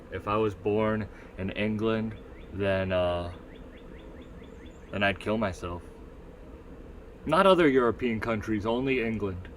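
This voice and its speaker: A man's voice: on the low side, slight background noise. A man with a Northeastern US accent rambling through a casual solo livestream, swearing freely and reading the chat aloud. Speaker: livestream host.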